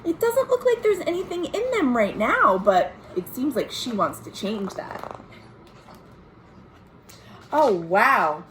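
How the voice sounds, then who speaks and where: A woman's voice: a little high, poor audio. A woman reading a picture book aloud for young children as a librarian, expressively, with asides about the pictures. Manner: expressive, pausing to point out pictures. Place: at home. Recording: home video with faint background noise.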